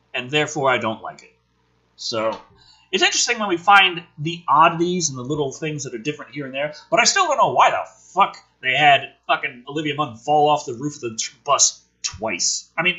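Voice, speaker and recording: A husky-voiced man reading a script aloud dramatically, with exasperated, sweary commentary as host. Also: fairly high pitch, pushed and bright. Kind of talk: animated script read-aloud with profane commentary.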